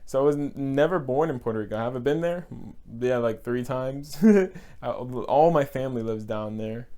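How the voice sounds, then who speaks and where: A man's voice: medium pitch, moderate pace, mellow. Earnest young man reviewing a film. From Texas, United States. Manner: earnest, emotional, repeats words for emphasis; breaks into short laughs. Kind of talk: casual movie review.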